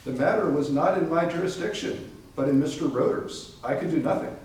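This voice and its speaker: Defensive, irritated company man played by a male reader, slightly high voice, stage recital in a very echoey room. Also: lively intonation, a little fast, a little mellow, natural voice. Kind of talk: readers theater monologue. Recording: echoey hall, far mic, faint noise.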